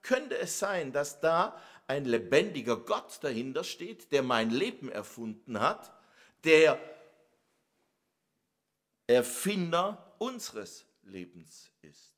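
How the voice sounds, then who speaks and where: A middle-aged Swabian preacher delivers his sermon at an unhurried, deliberate pace. His voice sits fairly high for a man and rings bright and forceful. He presses his points home with emphatic rhetorical questions. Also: sing-song.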